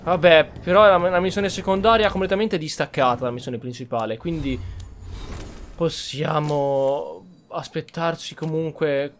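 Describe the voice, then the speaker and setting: Higher-pitched Italian man, sardonic gamer. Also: moderate pace, slight background noise. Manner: sardonic, blunt. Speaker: video game streamer. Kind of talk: casual gameplay commentary. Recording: faint game music behind.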